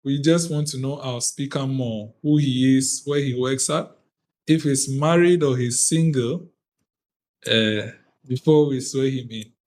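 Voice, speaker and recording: Measured Ghanaian man, slow and formal. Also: medium pitch, darkish tone. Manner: deliberate, measured, reads out lists of names. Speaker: convener of an online youth parliament. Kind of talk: formal ceremonial address. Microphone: video call.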